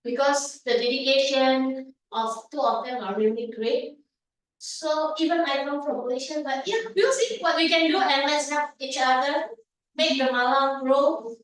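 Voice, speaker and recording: High-voiced guest woman in an echoey room. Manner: halting, friendly. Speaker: visiting guest. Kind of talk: impromptu guest remarks. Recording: echoey room, far from the mic.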